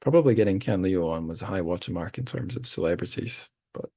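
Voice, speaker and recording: A man with a very low voice hosts a podcast in relaxed conversation. He rambles and pokes fun at himself, restarting his sentences often. The sound is dry and close, with no room echo. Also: fast talker. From Scotland. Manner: rambling, self-deprecating, many restarts. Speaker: podcast host. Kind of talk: casual chat.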